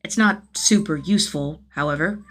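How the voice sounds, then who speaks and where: A woman reading a story aloud as an expressive scripted narration, with a slightly husky voice. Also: low voice, moderate pace, slight background noise. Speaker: audiobook-style narrator of fan fiction.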